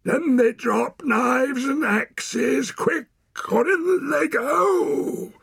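A man's voice: very high voice, big pitch swings, drawn-out words, gravelly, husky, dry recording, clean studio sound, voice pushed up, heavily acted. A man voicing a huge, raging, toothless wild man in an audiobook, roaring and snarling threats in a strained voice. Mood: snarling taunt. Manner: bellows and roars, speaks of himself in third person. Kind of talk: audiobook character acting.

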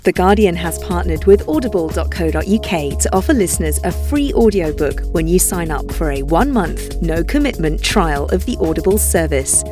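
A woman's voice: fairly low pitch, animated, normal speed, hardly any pauses. A woman reading a scripted sponsor announcement as an advertising voice-over. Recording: voice over a clear music bed.

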